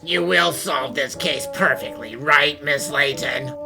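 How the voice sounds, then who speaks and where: A woman voicing a stern, exacting female bank manager in an animated fan dub, with a curt, formal and severe delivery. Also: low-pitched, slow pace, vocal fry, music in the background, full character voice. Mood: stern, controlled. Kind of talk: amateur English fan dub of animated game dialogue.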